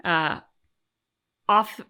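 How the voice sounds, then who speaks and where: A woman's voice: drawn-out words, sharp tone. A woman co-hosting a reaction channel, talking casually and thoughtfully as she searches for words.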